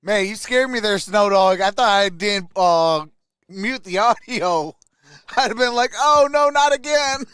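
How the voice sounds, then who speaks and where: Male livestream football host, high clear voice, calls plays in short unhurried phrases, dry close sound. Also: fairly bright tone, dry recording. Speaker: sports livestream host calling play-by-play. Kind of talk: live football commentary with casual chat.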